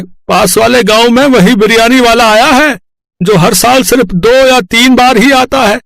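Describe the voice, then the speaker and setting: A man's voice: extremely high pitch, gravelly, a bit bright, no echo, voice pushed up, heavily acted. A man voicing a nosy, meddling middle-aged village neighbour in a cartoon, chattering in exclamations.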